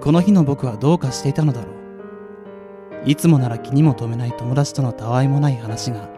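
A man playing a curious young part-timer, narrating his night in a measured, introspective radio drama style. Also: medium pitch, music in the background, close to an everyday voice. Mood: reflective.

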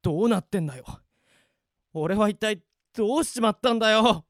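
A man voicing a bewildered young office worker who finds no one can see him, talking in rough casual speech, in an amateur radio drama. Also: high voice, lively intonation, brisk, heavy background noise. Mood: panicked.

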